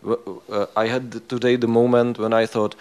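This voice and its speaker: A man, a meditation student, speaking haltingly with many fillers and restarts. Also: mid-range voice, little pitch movement, darkish tone, clean tone. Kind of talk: conversation with teacher.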